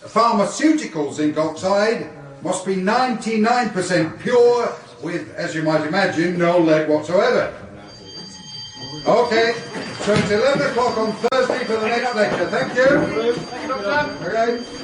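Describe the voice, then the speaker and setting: An actor playing a precise university lecturer in a radio drama, measured and instructive. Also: male voice, sits high, background noise. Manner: measured, instructive, projecting to a room. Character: precise male university chemistry lecturer addressing a class. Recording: very echoey lecture hall, distant mic.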